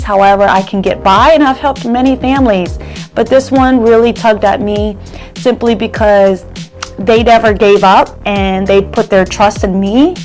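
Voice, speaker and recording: A woman from the United States speaking in an earnest, measured way, giving a personal testimonial as a real estate and mortgage professional. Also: medium pitch. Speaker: real estate agent and mortgage loan originator.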